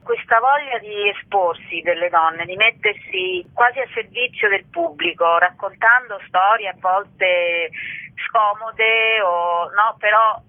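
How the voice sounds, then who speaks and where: A woman's voice: medium pitch, slow, no room sound. A woman being interviewed as an actress, talking casually over a narrow telephone line. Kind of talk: casual radio phone interview. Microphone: phone.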